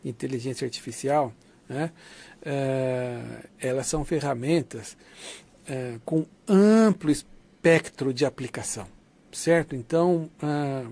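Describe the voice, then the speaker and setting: A man's voice: medium pitch, slow, warm tone. A man with a Brazilian accent lecturing in an informal, conversational teaching style, often checking in with short tag questions. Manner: didactic, conversational, often seeks confirmation with tags. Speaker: lecturer of an academic writing course. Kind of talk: online lecture, informal explanation.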